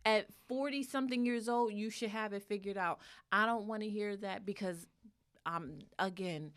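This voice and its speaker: A woman's voice: mid-range voice, a bit bright, smooth. Blunt, emphatic woman in her forties. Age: 40s. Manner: blunt, emphatic, quick to laugh. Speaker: podcast co-host. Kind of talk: casual chat.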